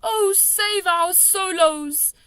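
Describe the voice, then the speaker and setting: A woman voicing a gentle, excitable old male giant who mangles his grammar and invents words. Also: high-pitched, moderate pace, no echo, pitched above the performer's own voice. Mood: anguished. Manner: jumbled grammar, made-up words.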